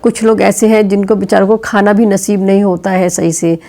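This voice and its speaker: A woman's voice: mid-range voice, fairly even intonation, a little fast, creaky, mellow. A middle-aged woman vlogging at home with a sore throat and fever, chatting about her cooking as she works in the kitchen. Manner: chatty, explaining chores as she goes. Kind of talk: casual home vlog narration.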